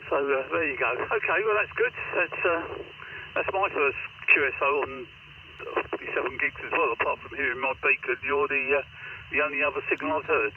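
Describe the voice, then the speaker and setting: A man's voice: a little high, a little fast. A middle-aged or older man, heard over a two-way radio, chatting casually in a rambling, hesitant way. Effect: heard over a two-way radio link. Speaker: amateur radio operator. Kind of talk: casual radio contact chat. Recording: muffled radio link, faint noise.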